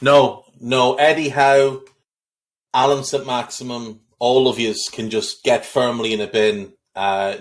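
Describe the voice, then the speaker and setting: A man hosting a football podcast, talking in a blunt, opinionated way with frequent restarts. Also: fairly low pitch. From Ireland. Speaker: podcast host. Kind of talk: casual football podcast chat.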